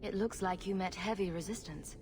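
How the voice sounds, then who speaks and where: A woman's voice: mid-range voice, a little fast, a little mellow, close to an everyday voice. A woman playing a wry, bold female rogue champion in a video game, sarcastic and flippant. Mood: questioning. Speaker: player character in a fantasy game. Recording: echoey cavern reverb, faint noise.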